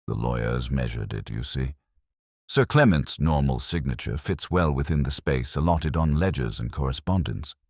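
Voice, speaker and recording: An anxious Victorian private secretary, acted out by a man reading an audiobook. He is polite, formal and precise, with a very low voice that moves expressively, in a dry recording. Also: lively intonation, moderate pace, vocal fry, no room sound, exaggerated voice. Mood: uneasy.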